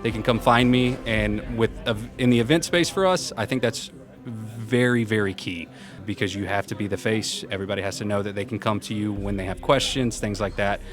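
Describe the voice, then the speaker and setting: A man with a fairly low, carrying voice speaks as an interview guest. He is informal and upbeat, a little self-effacing, and explains things conversationally. Music plays clearly behind him. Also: brisk. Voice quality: loud, carrying voice. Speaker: interview guest, senior program manager. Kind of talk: casual conversational interview answers.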